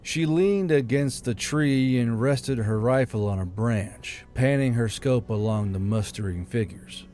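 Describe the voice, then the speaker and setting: Medium-pitched man narrating fiction. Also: unhurried, hardly any pauses, dark tone, faint music behind. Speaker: audiobook narrator. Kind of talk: dramatic scripted fiction narration.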